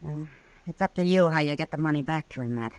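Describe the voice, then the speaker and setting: A woman's voice: low-pitched, quick pace, darkish tone, no room sound, natural voice. An actress playing a worldly, wry woman of the Old West in a 1950s radio western.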